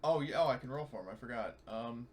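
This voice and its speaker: A man's voice: mid-range voice, quick pace, darkish tone. A sweary man talking casually as a player in a tabletop roleplaying game. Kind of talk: casual chat. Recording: faint background noise.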